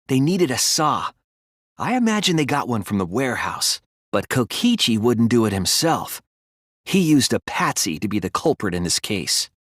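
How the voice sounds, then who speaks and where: Earnest, expressive teenage detective. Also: male voice, mid-range voice, no room sound, clean studio sound. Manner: earnest, deliberate, explanatory. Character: earnest, thoughtful teenage male detective student. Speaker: voice actor. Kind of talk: dramatic English game dub, deductive explanation.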